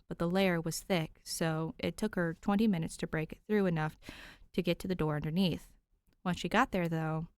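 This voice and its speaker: A woman's voice: lowish voice, a little mellow, smooth, no room sound, studio quality. A woman narrating a scripted action story as an audiobook-style reader. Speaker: podfic narrator.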